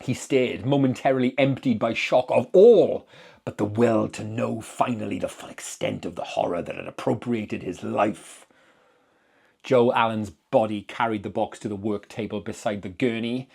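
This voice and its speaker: A man giving a dramatic, deliberate reading of literary horror fiction as narrator. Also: on the low side, creaky, darkish tone, husky.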